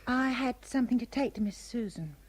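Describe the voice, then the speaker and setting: An actress playing a young female housemaid on a remote island, who speaks in a hushed, confiding way in a radio drama. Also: on the high side, animated, brisk, slightly rough, no echo. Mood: secretive. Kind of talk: 1970s radio drama acting.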